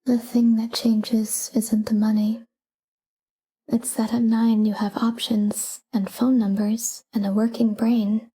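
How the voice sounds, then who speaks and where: A woman leads a sleep talk-down in a very dark, mellow voice. She speaks softly and breathily, close to a whisper, and keeps an even, calm delivery. Her short phrases are unhurried, with space left between them. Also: a little high, slightly rough, low-quality recording. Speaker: sleep meditation guide. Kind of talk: soft guided sleep meditation, whispered talk-down.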